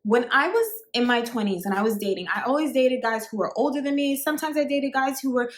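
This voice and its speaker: A woman's voice: sits high, quick pace, echoey room. A woman in her thirties giving dating advice to women straight to camera, chatty and emphatic, with many fillers. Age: 30s. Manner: chatty, emphatic, repeats "like" and "actually". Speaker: dating coach. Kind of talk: direct-to-camera advice talk.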